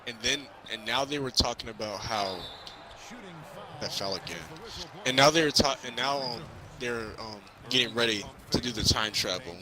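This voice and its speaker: A man casually recapping a movie in a halting, rambling way, repeating himself as he goes. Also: medium pitch. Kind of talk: casual movie recap chat while playing a video game. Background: crowd noise and basketball bouncing from a game.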